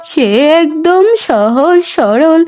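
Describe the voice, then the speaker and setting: A woman's voice: high-pitched, lively intonation, very slow, a little mellow, full character voice. A woman voicing a proud, courteous middle-aged mother of a groom in a cartoon story, politely boastful. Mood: pleased, proud. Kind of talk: Bengali cartoon story dubbing. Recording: music bed under the voice.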